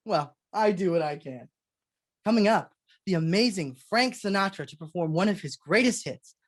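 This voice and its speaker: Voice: medium pitch, lively intonation, moderate pace, lightly acted. A performer playing a genial 1940s radio show announcer who introduces the acts. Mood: genial, upbeat.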